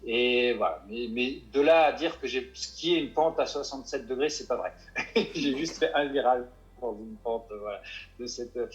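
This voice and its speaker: A mountain guide answering interview questions, hesitant and full of restarts and fillers. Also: male voice, mid-range voice, lo-fi sound. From Paris suburbs.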